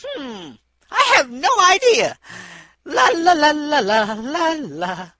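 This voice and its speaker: A man's voice: extremely high pitch, sing-song, slow, sharp tone, dry recording, pitched above the performer's own voice, exaggerated voice. A man voicing a hyperactive young female cartoon pony in a bouncy, sing-song manner. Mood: giddy, excited.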